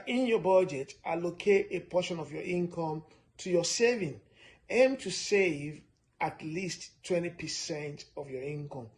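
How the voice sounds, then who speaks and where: A man's voice: a little high, a little hiss or hum. Man from Nigeria, emphatic finance talk. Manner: steady, repetitive, emphatic teaching with frequent "uh" fillers. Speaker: personal finance presenter. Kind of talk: instructional talk to camera.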